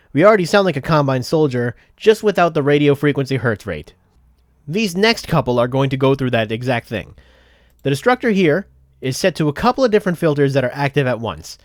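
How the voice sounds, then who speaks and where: A man narrating a software tutorial step by step in a methodical, explanatory way. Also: on the high side, dry recording, studio quality. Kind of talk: step-by-step instructional voice-over.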